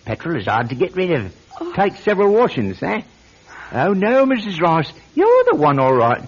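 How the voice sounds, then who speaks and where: Lo-fi radio drama, British detective, highish husky voice, hugely animated, pompous, accusatory, precise. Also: male voice, normal speed, exaggerated voice. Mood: triumphant. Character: pompous English detective, secretly an American actor in disguise. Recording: dull lo-fi radio recording.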